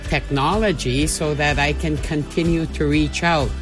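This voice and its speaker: A woman who teaches a language, speaking in a slow, reflective way. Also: deep voice, steady tone, music in the background.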